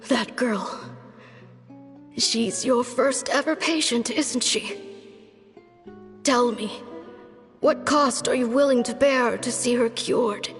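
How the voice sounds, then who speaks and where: A woman's voice: slow pace, pauses often. An actress voicing an ailing old woman doctor called a witch, sighing and coughing as she talks. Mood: probing. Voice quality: tired, low-energy voice. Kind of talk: video game flashback dialogue. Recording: long hall reverb. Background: soft music.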